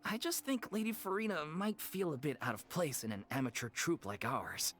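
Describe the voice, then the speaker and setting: A man's voice: a little high, lively intonation, normal speed, fairly bright tone. An actor playing an earnest, apologetic male leader of an amateur theater troupe in a game cutscene. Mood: reverent. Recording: game audio with faint music.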